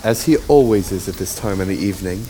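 Dark-toned, deferential Victorian manservant. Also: male voice, low-pitched, animated, fast. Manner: formal, deferential. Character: deferential male Victorian manservant, stiffly proper. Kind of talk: period audio drama acting. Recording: faint noise, reverberant hall.

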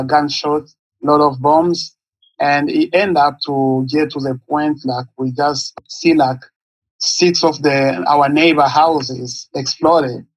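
A man from Congo, in his thirties, with a slightly husky, raised voice. He speaks haltingly, searching for words as he recounts his story in an interview. The recording is dry and close. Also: on the high side, no room sound, low-quality recording. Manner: halting, searching for words, non-native English phrasing. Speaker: guest, nonprofit founder.

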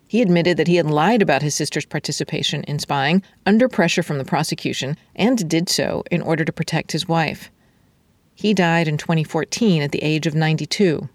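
A woman narrating a scripted epilogue in a measured, even manner. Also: deep voice, no room sound.

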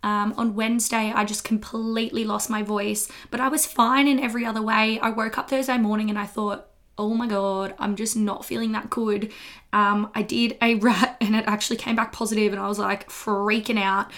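Young Australian woman host, a touch husky, bright and chatty, frank explainer tone. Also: mid-range voice, little pitch movement, normal speed. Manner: chatty, frank, laughs between points. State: slightly husky from a recent illness. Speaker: podcast host and health teacher. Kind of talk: casual educational monologue.

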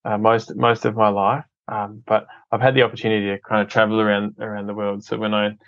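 Australian man, interview guest, flat steady delivery, muffled lo-fi sound. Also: fairly low pitch, brisk, dry recording. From Adelaide, Australia. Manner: earnest, often repeats words as he restarts. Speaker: podcast guest, film company head. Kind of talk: casual interview conversation.

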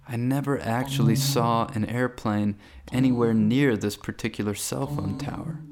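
Man playing a slow, brooding, subdued dreamer. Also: mid-range voice, darkish tone, slight background noise, lightly acted. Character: brooding, subdued, hypnotized man pondering his purpose. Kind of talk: radio drama monologue.

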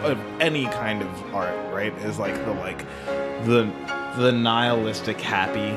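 A man's voice: fairly low pitch, a little slow. A man in a casual music discussion, talking in a rambling way and often checking for agreement with "right?". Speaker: podcast co-host.

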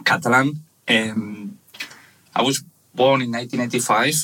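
A man in his thirties from Spain with a Spanish accent, speaking English as a second language, telling his story haltingly in a casual interview with many fillers. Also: medium pitch, fairly even intonation, pushed and bright, slight background noise. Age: 30s. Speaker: guest, webshop manager.

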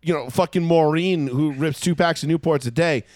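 A man's voice: fairly high pitch, moderate pace, a little mellow, no echo. A man in his thirties with an American accent chatting about sports and telling stories in a profane, opinionated, emphatic way. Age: 30s. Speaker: sports talk show host. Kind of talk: casual sports chat and storytelling.

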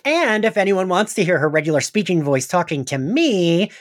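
Animated, chatty man podcasting. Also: sits high, moderate pace. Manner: chatty, frequent "like", animated quoting. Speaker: podcast co-host. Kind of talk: casual pop-culture podcast chat.

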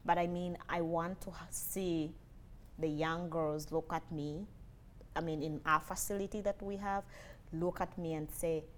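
Low-voiced Ugandan woman, 20s, earnest, soft interview guest; faint background noise. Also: steady tone, unhurried. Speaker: interview guest, lab technologist. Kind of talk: casual interview conversation.

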